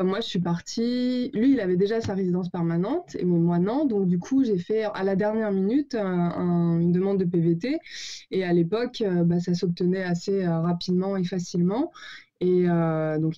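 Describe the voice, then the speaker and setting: A woman tells her story in a relaxed, unhurried way. She often fills pauses with "euh" while she searches for words. Her voice is mellow, and the call audio is slightly dull and lo-fi. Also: lowish voice. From Paris, France. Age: 30s. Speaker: guest. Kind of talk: casual interview storytelling. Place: home over a call.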